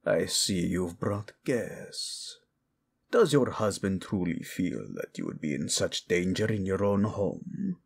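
A man playing a cold, scheming middle-aged royal father in an audio drama, slow, insinuating and theatrical, with falsely courteous mockery and a gravelly, husky voice. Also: sits low, big pitch swings, full character voice. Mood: false warmth. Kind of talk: dramatic audio roleplay acting.

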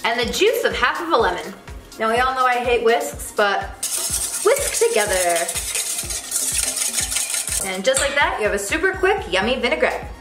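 A woman's voice: fairly high pitch, big pitch swings, moderate pace, pauses often. A young woman co-hosting a home cooking video, chatty and upbeat as she gives recipe steps and promotes the channel. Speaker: cooking show co-host. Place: home kitchen. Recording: echoey kitchen with faint music.

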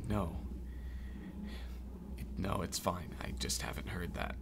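An actor playing a shaken war veteran who interviews survivors in a radio drama. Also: male voice, sits low, lively intonation, takes it slowly, vocal fry, heavily acted. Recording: audible background noise.

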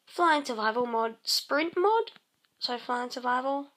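A woman casually narrating her game session, croaky and clearing her throat, rambling with many pauses and humming to herself. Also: high voice, lively intonation, a bit bright. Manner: slow, rambling, many ums, hums tunes while playing. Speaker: let's play host. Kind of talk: casual gameplay commentary.

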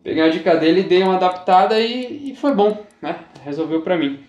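A man's voice: sits high, lively intonation, a little fast, a little mellow, dull audio. A man giving casual fishing game tips as a YouTuber, chatty and repetitive, often checking in with his viewers. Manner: chatty, repeats himself, says "galera" and "tá?" often. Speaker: gaming YouTuber. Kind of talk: casual gameplay tips commentary. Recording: roomy echo.

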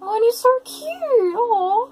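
Female reaction commentator: unscripted, exclaiming, animated high delivery with leisurely pacing, music underneath. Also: takes it slowly. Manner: gushing, exclaims and narrates what is happening. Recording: music playing behind the commentary.

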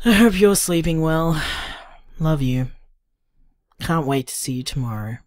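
An actor playing an affectionate, gently rambling young Australian boyfriend in an audio drama told through voicemails. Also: male voice, on the high side, lively intonation, measured pace, no echo, studio quality. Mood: weary, affectionate.

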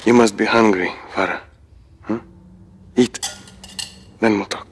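A man's voice: on the low side, steady tone, a little slow, slight background noise, lightly acted. An actor playing a cruel, menacing middle-aged Russian general in a video game, taunting as he interrogates, with a Russian accent. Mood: cold menace. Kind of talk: cinematic video game acting.

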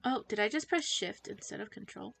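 A woman's voice: mid-range voice, lively intonation, creaky, fairly bright tone, soft background music. A teenage girl chatting casually to viewers while playing a game, giggly and easily distracted. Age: teens. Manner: chatty, giggly, self-distracted, quick to laugh. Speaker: streamer. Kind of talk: casual livestream chat while gaming.

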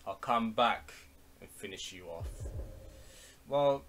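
Slow-paced man streaming, very animated pitch. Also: a little hiss or hum. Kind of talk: casual game speedrun commentary. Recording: music behind, poor TV-audio mic setup. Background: music.

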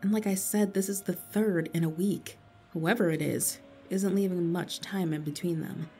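An actress plays a businesslike policewoman in an audio drama. Her voice is slightly low and dark, with a dry, suspicious, matter-of-fact manner, over some music. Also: fairly even intonation, moderate pace, close to an everyday voice. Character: businesslike, suspicious, wry female police investigator.

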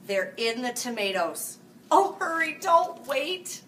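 A woman in her forties reading a picture book aloud to children in a sing-song, animated way, jumping to loud, high character lines. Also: slow. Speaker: school staff reading buddy. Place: small room, seated before a green-screen farm backdrop. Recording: distant mic with faint hiss.

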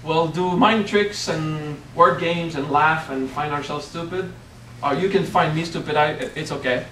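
Man, French accent, middle-aged, fairly high voice, roomy hall sound. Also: unhurried, pushed and bright, slight background noise. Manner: playful, keeps checking in with "okay?". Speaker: spiritual teacher. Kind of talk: workshop talk. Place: hotel meeting room. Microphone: far camera. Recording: echoey room from far off.

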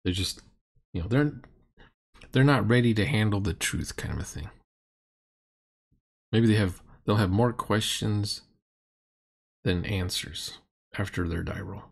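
Man, middle-aged, lowish husky voice, casual commentary, critical and sardonic. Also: unhurried, long pauses. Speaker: commentator reviewing a roleplaying game session.

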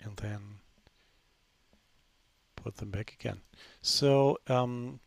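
Gravelly man talking through a software demo. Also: medium pitch, extremely slow. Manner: relaxed, unscripted, many fillers and restarts. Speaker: software developer giving a demo. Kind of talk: screen-recorded software demo narration.

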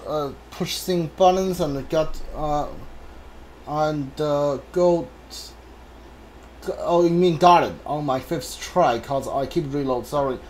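A man's voice: fairly high pitch, darkish tone, rough recording. A man giving casual live playthrough commentary, rambling hesitantly as he thinks aloud. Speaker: game streamer. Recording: faint background noise from game ambience. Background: video game ambience.

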